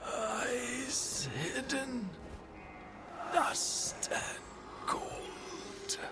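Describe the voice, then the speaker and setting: Creature role: anguished risen corpse, high, breathy, extremely slow, music behind. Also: female voice. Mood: anguished, eerie. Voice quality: breathy, whispery. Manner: slow, strained, broken phrases. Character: tormented undead corpse, whispering fragmented answers. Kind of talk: video game creature acting.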